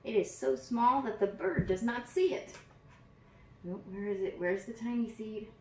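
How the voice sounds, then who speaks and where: A woman reading a picture book aloud to young children in an expressive storytelling manner, with simple phrasing. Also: on the high side, normal speed, slight background noise. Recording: roomy echo, distant from the mic.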